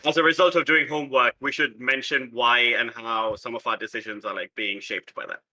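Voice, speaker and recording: A man with a very bright, medium-pitched voice joins a casual tabletop discussion. He is polite and wordy, choosing his phrasing with care as he makes his points. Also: steady tone, brisk, poor audio. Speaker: player in a tabletop roleplaying show.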